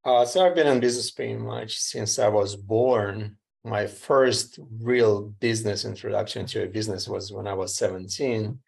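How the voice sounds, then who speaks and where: A man's voice: fairly low pitch, normal speed, gravelly, hardly any pauses, darkish tone, breathy edge. A middle-aged man from Ukraine with a Ukrainian accent, telling his story as a guest in a plainspoken, slightly broken English. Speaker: guest entrepreneur. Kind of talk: casual interview storytelling.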